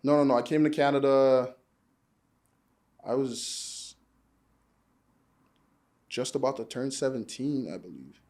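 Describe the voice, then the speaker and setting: A young man from Nigeria chats as a podcast guest at an ordinary, middling pitch. He rambles easily and leans on loose fillers as he talks things through. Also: slow, long pauses. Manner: relaxed, rambling, many "like" and "you know" fillers. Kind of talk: casual conversation.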